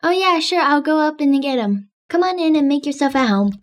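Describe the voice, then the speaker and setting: A woman plays a friendly, curious housemate in a video game roleplay. Her voice is clearly high, and she talks in a casual, chatty way. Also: brisk, close to an everyday voice. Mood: welcoming.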